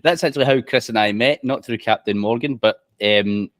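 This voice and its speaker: A man's voice: medium pitch, normal speed, a bit bright, dry recording, clean studio sound. A man hosting a casual interview, friendly and chatty, often calling his guest "mate". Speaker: host.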